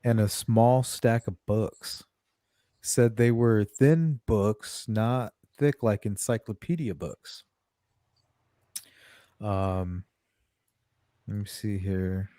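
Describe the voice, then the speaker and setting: A woman's voice: rumbling low, drawn-out words, long pauses, dark tone, dry recording, clean studio sound. A woman hosting a casual livestream chat, thinking aloud as she goes. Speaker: host.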